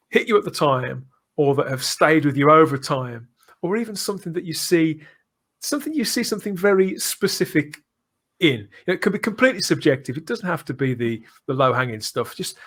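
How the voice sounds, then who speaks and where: A man's voice: a little high, a bit bright. Effusive middle-aged man hosting a podcast. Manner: effusive, emphatic, discursive. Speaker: podcast host. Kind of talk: casual enthusiastic fan discussion.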